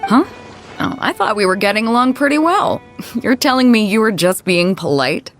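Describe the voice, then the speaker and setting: A woman playing an easygoing, hearty sea captain in a video game, talking in a breezy, informal, expressive way. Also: fairly high pitch, moderate pace, faint music behind, lightly acted. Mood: teasing, reassuring.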